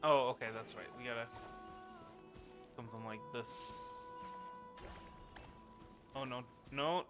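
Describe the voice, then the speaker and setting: A male streamer with a medium-pitched voice, chatting casually as he plays. Loud game music runs behind his commentary. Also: takes it slowly, long pauses. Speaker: live streamer.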